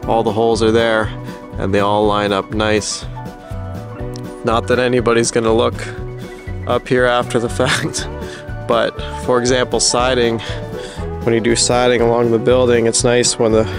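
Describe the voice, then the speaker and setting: Male DIY vlog host, plain-spoken and informal, mid-pitched with flat, steady delivery, explaining practical steps over clear background music. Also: normal speed, long pauses. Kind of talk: casual explanatory walkthrough of construction work.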